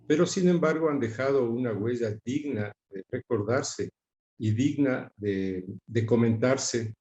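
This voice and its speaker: Mellow Quito man in an echoey room. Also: mid-range voice, highs cut off. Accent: Quito Ecuadorian. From Quito, Ecuador. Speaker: interview guest. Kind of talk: conversational reminiscence. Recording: roomy, echoing sound.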